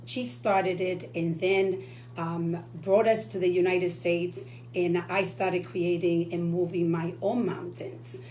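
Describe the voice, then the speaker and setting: Woman, from the Dominican Republic, radio co-host, enthusiastic, low voice, faint hum behind. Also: a little mellow. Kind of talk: casual radio talk.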